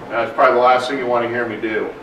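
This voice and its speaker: A man giving a technical conference presentation in a large room, speaking loudly and plainly. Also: medium pitch, steady tone, very fast, slight background noise. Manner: loud, plain-spoken, apologetic about slides. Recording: very echoey room, distant mic.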